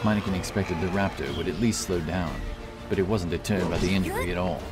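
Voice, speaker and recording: A man narrating a fantasy story in a steady, scripted style. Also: low-pitched, fast talker. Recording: narration over loud music.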